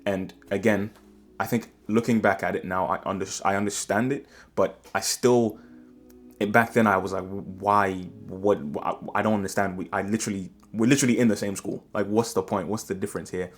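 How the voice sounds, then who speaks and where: A man's voice: on the low side, fairly even intonation, a little fast, faint music behind. A young man, talking earnestly in a reflective personal vlog and often rambling and restarting his sentences. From Ghana. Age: 20s. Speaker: vlogger.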